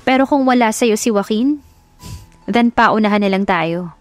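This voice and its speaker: A woman's voice: mid-range voice, moderate pace, dry recording, soft background music, close to an everyday voice. A woman voicing a brave, devoted young woman in love, earnest and emphatic, in a dramatic audiobook. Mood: weary, firm. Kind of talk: dramatic audiobook character acting.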